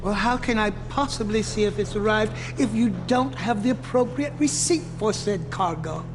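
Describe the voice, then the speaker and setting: A prissy station clerk, high-voiced for a man, reciting rules with formal, exaggerated politeness. It is comic game acting in a long reverberant hall, with music underneath. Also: normal speed. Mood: officious. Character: officious, pedantic railway baggage clerk quoting regulations.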